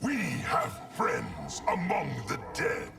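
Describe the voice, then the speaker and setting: An actor playing a grim, commanding supernatural warrior in a video game, with a harsh gravelly voice and solemn, forceful delivery. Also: male voice, on the high side, big pitch swings, takes it slowly, over loud music, full character voice. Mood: cold hostility. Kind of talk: video game fighter dialogue.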